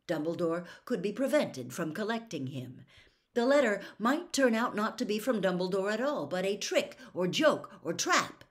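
A woman in her sixties reading a novel aloud as a storyteller, with dramatic, deliberate phrasing. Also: on the low side. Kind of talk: expressive audiobook-style reading of a novel. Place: small furnished room at home.

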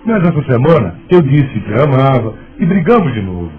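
A man's voice: mid-range voice, big pitch swings, fairly quick, crowd noise, close to an everyday voice. An actor playing an earnest, lovestruck man in a radio soap opera, with a dramatic delivery. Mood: pleading. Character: Rômulo, lovestruck earnest man, insistent and concerned. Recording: muffled, narrow phone-like radio drama sound. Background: music.

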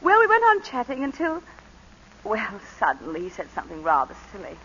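A frightened planter's wife. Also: female voice, mid-range voice, huge pitch swings, fairly bright tone, a little hiss or hum. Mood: composed. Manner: nervous, halting, then composed storytelling. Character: tense, frightened planter's wife, adult woman. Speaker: lead actress in radio drama. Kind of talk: 1940s radio acting. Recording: dull old radio broadcast.

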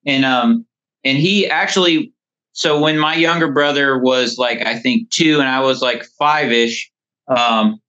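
A man in his thirties with a bright, forward voice and a middling pitch. He talks casually and ramblingly, like a guest sharing stories, over a dull phone connection. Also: a little slow. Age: 30s. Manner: rambling, frequent "you know". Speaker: podcast guest, lawn care business owner. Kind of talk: casual interview storytelling. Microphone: phone call. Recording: dull, band-limited phone call.

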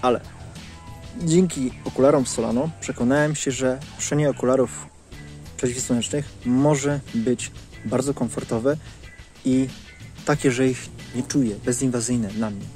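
A man's voice: mid-range voice, fairly even intonation, measured pace, darkish tone, soft background music. A man in his thirties giving a casual product review to camera, recorded outdoors on a lapel mic. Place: outdoors in leafy woodland. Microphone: clip-on lapel mic.

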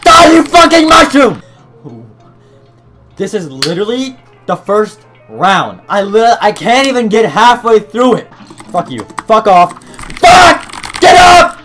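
A young man playing a video game on webcam, swearing and shouting in frustration, his voice growing hoarse. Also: high voice, very animated, takes it slowly, faint music behind. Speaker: gaming YouTuber. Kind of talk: webcam gameplay commentary. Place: small room at a desk. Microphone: desk mic beside keyboard, wearing headset.

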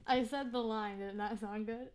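A woman chatting casually as a voice actor while she rehearses lines. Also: fairly high pitch. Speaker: amateur voice actor.

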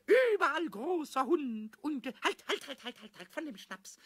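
Mischievous little kobold, creaky, wildly swooping, excitable, repetitive, children's audio drama. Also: male voice, extremely high pitch, exaggerated voice. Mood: tipsy, bustling. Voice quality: squawky, creaky. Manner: stammering, repetitive, sing-song rhyming. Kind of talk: German children's radio play acting.

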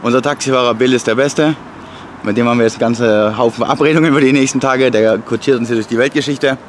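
A man's voice: mid-range voice, fairly even intonation, quick pace. A man in his thirties chatting casually to a handheld camera in short, wry remarks as a fitness vlogger. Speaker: fitness vlogger and bodybuilding competitor. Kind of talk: casual vlog chat to camera. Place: on the move: train, taxi, street, hotel, gym. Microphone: camera at arm's length. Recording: noisy handheld camera, loud ambient noise.

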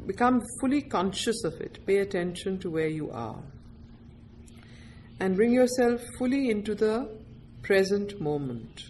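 A woman guides a meditation at a very slow pace, in a somewhat low voice for a woman. She gives gentle instructions in short, deliberate phrases, leaving space between them. There is a faint wash of background noise. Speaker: meditation teacher.

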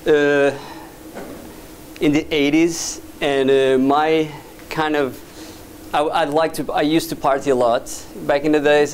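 A man from Portugal in his thirties giving a casual, halting presentation in a lecture hall, full of fillers and restarts, recorded from a camera at the back of the room. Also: mid-range voice, a little mellow, slight background noise. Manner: hesitant, many fillers and restarts. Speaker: guest speaker, VJ and doctoral student. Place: dim lecture hall with projector screen. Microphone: far camera at back of room.

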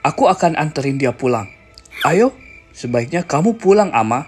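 Woman voicing a low, lively cartoon boy. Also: normal speed. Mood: cautious. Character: cautious, protective boy out at night. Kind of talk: animated cartoon dialogue. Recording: music behind the voice.

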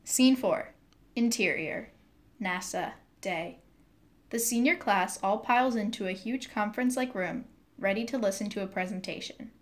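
Woman narrating with a mid-pitched voice. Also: moderate pace. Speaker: narrator reading stage directions. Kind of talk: scripted narration.

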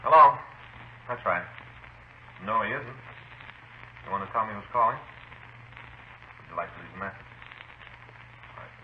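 An actor playing a brisk, businesslike police detective in an underplayed 1950s radio drama. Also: male voice, fairly low pitch, animated. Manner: matter-of-fact, brisk. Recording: muffled, noisy 1950s radio recording.

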